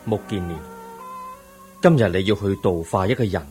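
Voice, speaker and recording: An actor playing a calm, all-knowing Buddha in a Cantonese radio drama, solemn and compassionate, with a breathy voice and a Hong Kong accent. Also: male voice, low-pitched, moderate pace, soft background music, natural voice.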